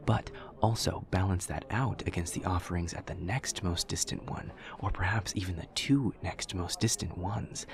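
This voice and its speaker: A man's voice: low-pitched, gravelly. A man playing an awkward, earnest young demon student in an audio roleplay, speaking in a formal, literal, over-explaining way. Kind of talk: audio roleplay acting, addressing the listener.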